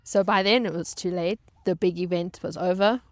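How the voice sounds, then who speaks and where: A woman's voice: on the low side, steady tone, normal speed, dry recording. A woman telling a casual comedic personal story to viewers in a dramatic, self-mocking way, repeating phrases for emphasis. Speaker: storytelling vlogger and former yacht crew member.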